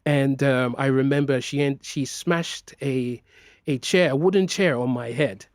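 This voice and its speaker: A man's voice: medium pitch, unhurried, no echo, studio quality. A middle-aged man who grew up in Nigeria, speaking as an interview guest in a hesitant way with many fillers and restarts. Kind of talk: personal interview.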